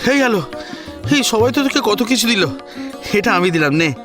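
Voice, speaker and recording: Man acting a caring, lively young male friend. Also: high voice, moderate pace, music in the background, voice pushed up. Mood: eager. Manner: affectionate, urging. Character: caring, excitable young male friend giving gentle orders. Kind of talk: audio story acting.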